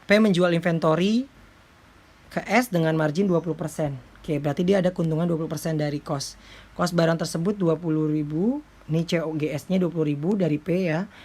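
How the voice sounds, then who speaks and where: Low-voiced woman lecturing informally. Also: darkish tone. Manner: step-by-step explaining with frequent check-in tags. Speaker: accounting lecturer.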